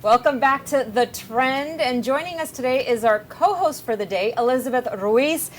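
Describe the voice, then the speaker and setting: A woman's voice: sits high, normal speed, a little hiss or hum. A woman hosting a talk show, upbeat as she introduces and prompts her guest.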